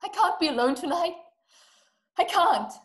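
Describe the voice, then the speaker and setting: A noticeably high voice with room echo from a video call. It is a young woman acting a fretful, imperious invalid wife in a play, full of complaint and self-pity. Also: expressive, unhurried. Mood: anxious, entitled. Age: young adult. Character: anxious, demanding, self-pitying invalid wife. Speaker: actress. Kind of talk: stage acting in a radio-play adaptation. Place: small room indoors. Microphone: webcam video call. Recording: roomy laptop video-call sound.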